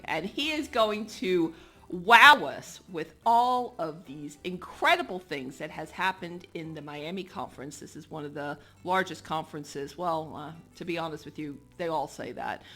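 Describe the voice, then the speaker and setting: A woman's voice: sits low, lively intonation, measured pace, bright, forceful tone, faint music behind. A woman hosting a podcast interview in a breezy, teasing, chatty way. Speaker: podcast host. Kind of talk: casual interview chat.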